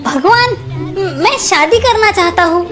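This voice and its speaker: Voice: extremely high pitch, expressive, a little slow, over music. A performer voicing a small, boastful mouse in a children's cartoon story, with a self-important, declamatory delivery. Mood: eager, earnest.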